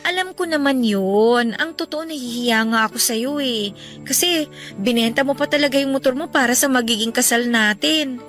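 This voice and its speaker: High, bright-voiced devoted Filipina fiancée. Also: female voice, moderate pace, faint music behind. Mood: affectionate, gently chiding. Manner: tender, heartfelt, emotional. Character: devoted bride-to-be and mother, tender, pleading. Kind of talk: Filipino radio drama acting.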